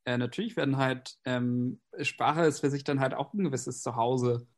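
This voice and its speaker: A man's voice: medium pitch, moderate pace. A man whose first language is English, speaking German in a casual, reflective conversation with frequent fillers. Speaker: conversation partner.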